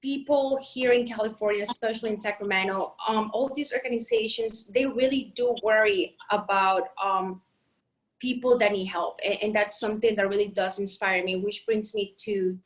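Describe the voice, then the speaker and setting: A woman's voice: medium pitch, fairly even intonation, moderate pace, rough recording. A woman reporter from Colombia, raised in Miami, talking in a friendly, chatty way over a phone on a video call. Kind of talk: casual talk.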